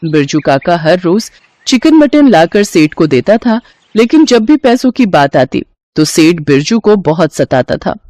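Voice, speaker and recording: A woman's voice: fairly low pitch, big pitch swings, measured pace, a little mellow, no echo. A woman narrating a scripted story as the storyteller.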